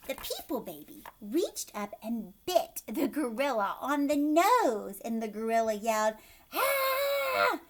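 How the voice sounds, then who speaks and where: Animated, high-voiced woman reading to children. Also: unhurried, few pauses, slight background noise. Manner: expressive, addressing young listeners directly. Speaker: teacher reading aloud to children. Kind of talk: children's storybook read-aloud with questions.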